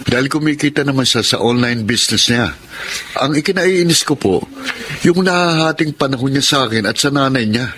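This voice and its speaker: A man's voice: mid-range voice, expressive, fairly quick, fairly bright tone, lightly acted. An actor playing a young Filipino husband in a Tagalog radio drama, easily vexed yet deferential to his father. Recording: faint background noise.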